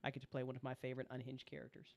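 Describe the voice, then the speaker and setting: A woman's voice: a bass voice, completely flat delivery, very fast, creaky, darkish tone, dry recording. A woman hosting a casual after-show chat and promoting an audio drama network.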